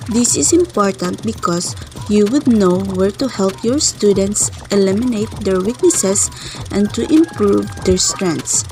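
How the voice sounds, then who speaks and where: A woman's voice: medium pitch, a little mellow, rough recording. A woman reading scripted narration for an educational presentation.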